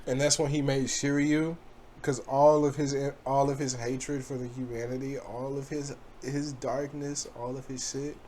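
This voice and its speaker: A man giving casual, profane reaction commentary in a blunt, animated way, swearing freely. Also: mid-range voice, moderate pace, slight background noise. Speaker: reaction video host.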